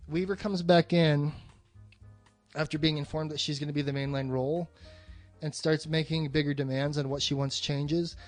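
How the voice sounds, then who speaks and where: Higher-pitched man talking bluntly over music. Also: fairly even intonation, normal speed. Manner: blunt, sweary. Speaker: podcast co-host. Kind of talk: casual film discussion.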